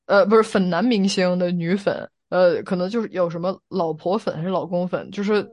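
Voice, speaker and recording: A woman's voice: lowish voice, fairly even intonation, brisk, a little mellow. A woman podcast host talking casually in a reflective, explanatory way during a discussion.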